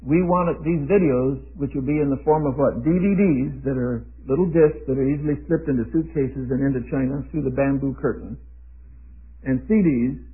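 A man in his eighties preaching a sermon, emphatic, often pausing to ask the audience questions to answer. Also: a little high, slightly rough. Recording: tinny phone-like lo-fi recording.

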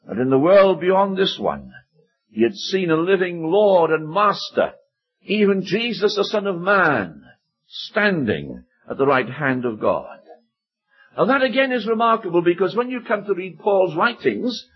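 A man's voice: high voice, breathy edge. A man preaching an earnest expository sermon, with emphatic rises on key phrases. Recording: dull lo-fi recording.

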